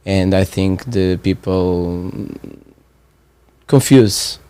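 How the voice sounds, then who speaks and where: Portuguese guy in his 20s, english not his first language, lots of uhs, low mellow voice, dry sound. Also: clean tone, dry recording. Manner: halting, searching for words with many fillers. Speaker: training participant. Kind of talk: casual conversation.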